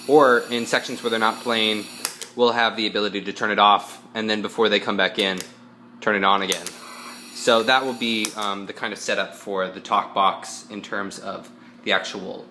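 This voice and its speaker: A man giving an informal instructional demonstration as a musician, explaining step by step with hesitant pauses mid-phrase. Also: lowish voice, background noise.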